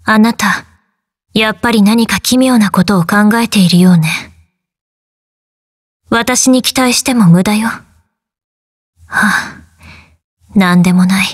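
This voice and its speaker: A woman's voice: medium pitch, slow pace, long pauses, slightly rough. A woman playing an aloof young female warrior in a game, with a detached, dismissive manner. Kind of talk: game character voice lines.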